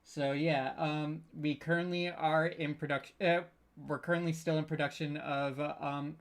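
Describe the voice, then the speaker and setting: A host chatting casually on a live stream, halting and hesitant, often repeating words before getting to the point. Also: mid-range voice, fairly even intonation. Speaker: panel host.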